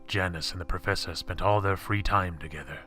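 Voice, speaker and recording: A man's voice: sits low, a little fast, pushed and bright, soft background music. A man narrating a scripted horror story with dramatic storytelling delivery.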